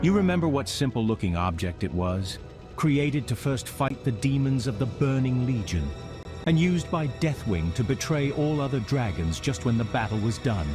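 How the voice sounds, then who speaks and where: A man playing a grave, determined mage in a fantasy game, with formal, measured, earnest phrasing. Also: mid-range voice, animated, close to an everyday voice. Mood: earnest persuasion. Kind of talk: fantasy game cutscene acting. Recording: music behind the voice.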